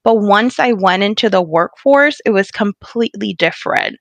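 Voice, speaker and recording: A woman's voice: mid-range voice, animated, normal speed, no echo. Chatty Puerto Rican woman, dry sound. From Puerto Rico. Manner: chatty, laughs often. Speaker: podcast guest. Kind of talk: casual interview conversation.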